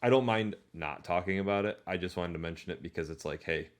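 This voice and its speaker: A man's voice: low voice, fast. A man co-hosting a podcast, chatting casually and conversationally about movies. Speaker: podcast host.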